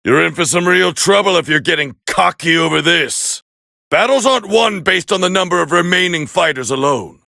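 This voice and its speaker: A man voicing a proud, scornful alien warrior prince as battle commentary in an anime fighting game, with a harsh, gravelly growl and a haughty, clipped delivery. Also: high voice, animated, normal speed, sharp tone, dry recording, clean studio sound, exaggerated voice.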